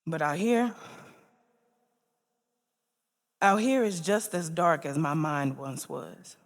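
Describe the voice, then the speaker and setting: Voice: medium pitch, a little slow, lightly acted. A performer playing a troubled, fearful artist baring a wounded inner self in an emotive theatrical spoken-word monologue. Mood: bitter, sorrowful. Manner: emotive, dramatic, swelling and dropping. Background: music.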